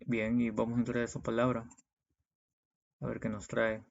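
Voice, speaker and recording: A man's voice: mid-range voice, completely flat delivery, normal speed, creaky. A man preaches in a didactic way, reading Bible verses and explaining them, often checking in with short tags. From Peru. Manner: didactic, punctuated with check-in tags like "okay" and "right". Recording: dull, lo-fi sound.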